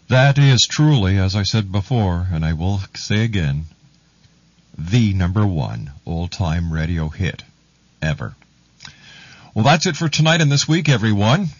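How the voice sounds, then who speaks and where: Deep-voiced man signing off a radio show. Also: husky, no room sound, muffled recording. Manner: warm-hearted, expressive. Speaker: radio host. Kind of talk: show sign-off.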